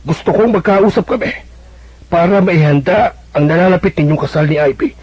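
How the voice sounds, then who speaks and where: A man's voice: sits high, brisk, a little mellow, breathy edge. An actor with a Filipino accent playing a stern, insistent middle-aged father in a radio drama. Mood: firm, insistent. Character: stern, insistent father demanding a legal wedding. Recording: dull lo-fi radio broadcast.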